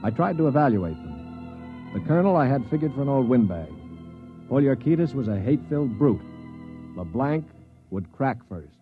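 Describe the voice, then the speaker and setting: An actor playing a blunt, cynical Earthman prisoner who narrates a 1950s science fiction radio play in a sardonic, forceful manner. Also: mid-range voice, very animated, normal speed, long pauses, music in the background, natural voice. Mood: grim narration. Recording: muffled 1950s radio recording.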